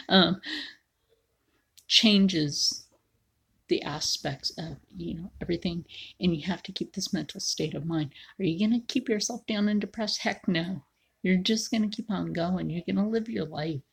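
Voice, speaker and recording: A woman in her forties chatting casually to viewers while she draws, often sinking into a low whisper. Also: vocal fry, husky. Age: 40s. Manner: slow, frequently drops into a whisper. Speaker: video creator. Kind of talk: casual livestream chat while drawing.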